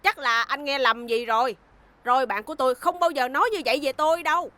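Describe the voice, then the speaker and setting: A woman's voice: high-pitched, quick pace, sharp tone. A woman voicing a cocky, hot-tempered boy racer in a cartoon dub, brash and defiant. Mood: polite, proud.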